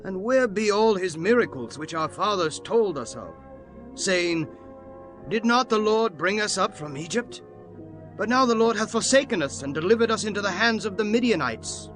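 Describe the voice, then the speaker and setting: A man's voice: high voice, animated, moderate pace, a bit bright, soft background music. An actor playing an anxious, doubting young man who pleads earnestly in archaic dramatized scripture. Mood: troubled, questioning.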